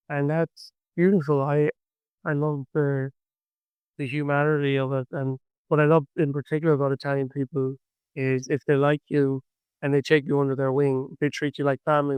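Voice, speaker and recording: Chatty Irish man, very clear voice. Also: mid-range voice, dry recording. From Ireland. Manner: chatty, storytelling, enthusiastic. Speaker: folk singer-songwriter guest. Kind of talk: casual interview chat.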